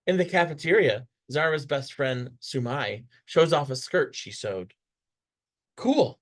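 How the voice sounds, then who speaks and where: A man reading a children's picture book aloud with expressive, dramatic storytelling delivery. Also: medium pitch, a little slow. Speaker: storybook reader.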